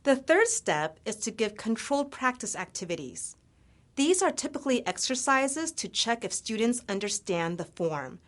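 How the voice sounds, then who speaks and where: A woman who grew up in the United States, an English teacher presenting a scripted grammar lesson in an upbeat, clearly enunciated way. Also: fairly high pitch, big pitch swings, moderate pace.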